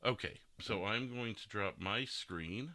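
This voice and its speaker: Deep voiced guy hosting a podcast, dry close sound, rambles a bit, jokes at his own expense. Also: measured pace, bright, forceful tone, no room sound. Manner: rambling, self-deprecating, joking. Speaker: podcast host and game streamer. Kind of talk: casual podcast chat.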